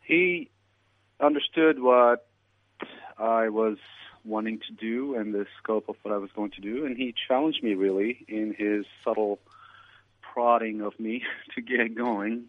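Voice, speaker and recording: A man from Turkey speaks in a measured, reflective way, with a fairly low voice. The sound is dry and thin, like a phone line, with no room around it. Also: dry recording. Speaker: guest. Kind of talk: interview answers.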